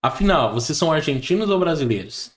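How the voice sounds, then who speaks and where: A man hosting a relaxed podcast interview, asking slow, hesitant questions full of fillers. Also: on the high side. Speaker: podcast host. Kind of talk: casual interview.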